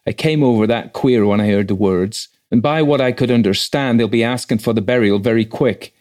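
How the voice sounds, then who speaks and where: A man's voice: mid-range voice, a little fast, darkish tone, lightly acted. A man voicing an agitated, chatty country housekeeper with a flustered manner and rustic dialect, in a read-aloud ghost story.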